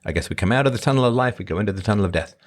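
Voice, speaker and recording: Middle-aged man, lowish voice, rambling casual monologue, conversational host. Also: animated, very fast. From born in Ireland, grew up in England. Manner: rambling, conversational, emphatic swings in pitch. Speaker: podcast host answering live chat.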